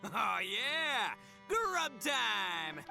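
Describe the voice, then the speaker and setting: A brash young game rogue, gravelly and bright. He swoops wildly in pitch, boisterous and big-hearted. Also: big pitch swings, very slow, soft background music, full character voice.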